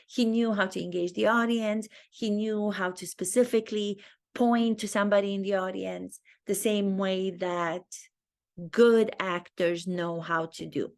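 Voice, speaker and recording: A woman with a Romanian accent, an acting teacher thinking aloud and commenting to camera in her own video. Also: medium pitch. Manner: thinks aloud, earnest and reflective. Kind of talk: talking-head commentary video.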